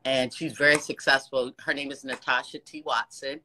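A woman's voice: very deep voice, fairly even intonation, moderate pace, background noise. A woman in her sixties on a casual phone video chat, gushing with praise and encouragement, talking to the camera from an office. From United States. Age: 60s. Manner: gushing, warm praise with frequent laughs. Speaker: fan on a video call. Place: office with ceiling lights. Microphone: phone camera held close.

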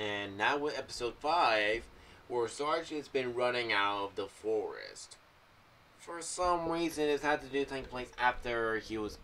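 Low-voiced young man, halting commentary. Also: pushed and bright. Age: young adult. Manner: halting, meandering phrasing. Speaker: video creator sharing fan theories. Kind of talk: unscripted rambling commentary. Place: small room at home. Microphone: boom-arm microphone with pop filter, headphones. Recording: clearly noisy home recording.

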